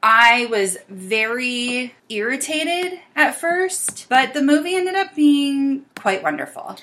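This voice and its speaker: Bright-voiced chatty woman co-host. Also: sits high, takes it slowly. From California. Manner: chatty, animated, self-mocking. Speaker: podcast co-host. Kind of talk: casual chat.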